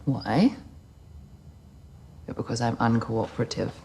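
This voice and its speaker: A haughty British princess in a TV drama. Her voice is strikingly low, with an upper-class English accent and a prickly manner. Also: slow, vocal fry, over music, exaggerated voice. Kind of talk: screen drama acting.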